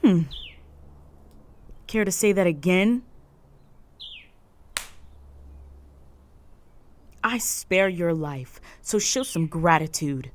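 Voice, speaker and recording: A woman playing a stern, proud, possessive female orc chieftain in a roleplay audio drama, speaking in a formal, measured, authoritative way. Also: on the low side. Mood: possessive, exasperated.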